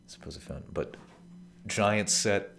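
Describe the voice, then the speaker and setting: A man's voice: lowish voice, takes it slowly, creaky, a bit bright, a little hiss or hum. A man streaming a video game, chatting casually and jokily with lots of trailing fillers. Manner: rambling, jokey, trailing filler words. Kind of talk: casual chat while gaming.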